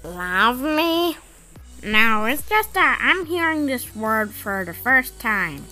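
A woman's voice: a little high, sing-song, slow pace, bright, forceful tone, faint music behind, full character voice. A woman voicing a quirky, childlike, scheming cartoon creature in a fan comic dub, with an emphatic, innocent-sounding delivery. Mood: baffled.

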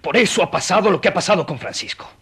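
A man's voice: sits high, lively intonation, fast, vocal fry, fairly bright tone, breathy edge, heavily acted. An actor playing a stern, money-minded merchant father in a radio drama, scolding with indignant emphasis. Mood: scornful. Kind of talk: Spanish-language radio drama acting.

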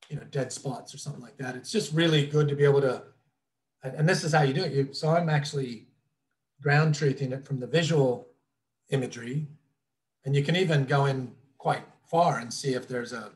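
A middle-aged man explaining things informally as a trainer in an online webinar, in a casual, loosely worded way, recorded indoors over a video call. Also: mid-range voice. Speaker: drone trainer presenting a webinar. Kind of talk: informal instructional presentation over slides.

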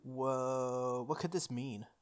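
A game playthrough host reading on-screen text aloud and commenting casually, reacting out loud with exclamations and questions. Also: medium pitch, steady tone, slow pace. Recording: music behind the voice. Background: game and anime music.

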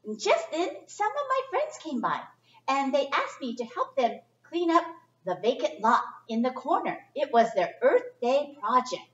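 Casting: middle-aged woman storyteller, high lilting voice, chatty read-aloud for kids, recorded from across an echoey room. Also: moderate pace. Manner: expressive, chatty, simple phrasing for young listeners. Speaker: storyteller reading a picture book to children. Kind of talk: children's read-aloud with informal asides. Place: indoor library children's area. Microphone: distant camera microphone. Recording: echoey room, distant muffled camera sound.

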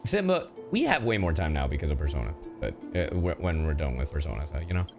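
A man's voice: very deep voice, big pitch swings, fast talker. A man livestreaming a video game, chatting casually in slang and often repeating his words. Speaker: game streamer. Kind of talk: casual livestream chat while playing a game. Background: game music.

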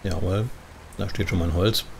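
Low-voiced man chatting over engine noise. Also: normal speed. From Germany. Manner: chatty, relaxed, thinking aloud. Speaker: gaming video host playing a trucker. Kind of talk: casual role-play commentary while driving in a truck simulator. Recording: clear engine and vehicle noise behind. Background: truck simulator game sound.

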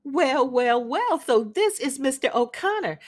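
A woman's voice: high voice, animated, unhurried. A woman reading a play aloud, voicing a genteel older Southern mother who chatters and gushes in a Southern accent. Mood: gushing. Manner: gushing, chattering, flutters up and down. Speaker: reader of a classic play.

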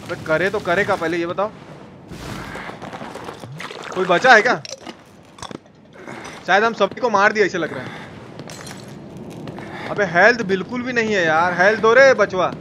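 A high-pitched man with a Bihari Hindi accent commentates live on a game. He is slangy and excitable, repeating phrases as he goes. Game noise is clearly audible behind him. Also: a little slow, long pauses. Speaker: game streamer. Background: horror game sound effects and ambience.

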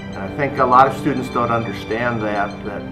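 A man's voice: medium pitch, brisk. Middle-aged man reading aloud over music. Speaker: math and computer science teacher. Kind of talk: reading reviews aloud and reacting casually. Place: indoor classroom.

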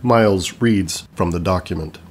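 A man's voice: sits low, moderate pace, husky. A man narrating scripted stage directions for an audio play.

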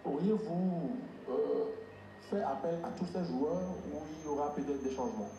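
A man's voice: fairly high pitch, normal speed, warm tone. A man, a newspaper journalist, asking a coach a roundabout, hesitant question. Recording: echoey hall with noisy background.

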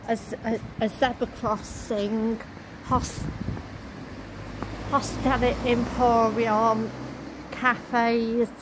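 A woman vlogger narrating a walk outdoors along a shopping street, halting as she lists what she passes. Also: fairly high pitch, little pitch movement. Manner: halting, listing shop names as seen. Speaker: travel vlogger. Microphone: handheld camera while walking. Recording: loud street and traffic noise.

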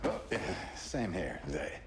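A man's voice: deep voice, sing-song, slow pace, heavily acted. A man playing a weary, dry-witted alien rebel pilot in a video game, talking matter-of-factly. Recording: echoey ship interior, effects noise behind.

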